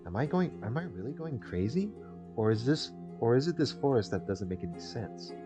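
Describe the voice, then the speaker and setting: A man's voice: mid-range voice, sing-song, brisk. A man hosting a gaming video, reading visual novel text aloud and adding casual, rambling commentary. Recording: dull lo-fi mic under loud music. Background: game music.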